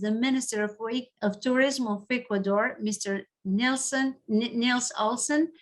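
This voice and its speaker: A woman academic moderating a panel in a formal, halting way. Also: medium pitch, fairly bright tone.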